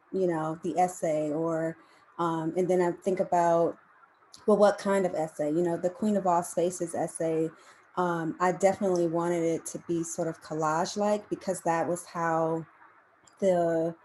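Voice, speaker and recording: Woman poet, low and dark-toned, casual panel talk, halting and conversational, slightly noisy call audio. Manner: thinking aloud, halting, conversational. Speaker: poet and essayist on a reading panel. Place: remote video call.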